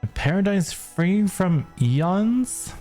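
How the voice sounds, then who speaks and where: A game streamer reacting live in an expressive, exclamatory way. The voice is mellow and slow-paced with wide swings in pitch, over game audio. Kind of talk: live game reaction commentary, reading lines back. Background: game music and dialogue.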